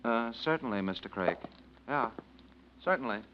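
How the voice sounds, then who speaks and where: An actor playing a witty, penniless lodger with florid, mocking speech in a 1940s radio drama. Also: male voice, medium pitch, animated, normal speed, slight background noise, lightly acted. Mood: wry, teasing. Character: witty, penniless male lodger, grandiloquent and sardonic. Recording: dull vintage radio recording.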